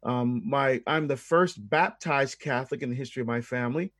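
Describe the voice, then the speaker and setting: A man's voice: mid-range voice, a little fast, a bit bright, dry recording. American man, dry close-sounding interview guest. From Barbados and the United States. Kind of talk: conversational interview answers, explanatory.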